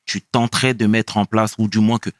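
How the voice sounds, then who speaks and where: A man's voice: lowish voice, flat delivery, fairly quick, no echo, studio quality. A man hosting an interview, asking questions in a casual, informal way. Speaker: host.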